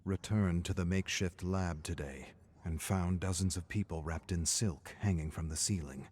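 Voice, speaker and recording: A weary scientist who has survived a monster invasion, logging his findings for a video game. His voice is on the low side, grave and measured, and his phrase endings sink into a hush. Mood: shaken, grim. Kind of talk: scripted dramatic audio log narration.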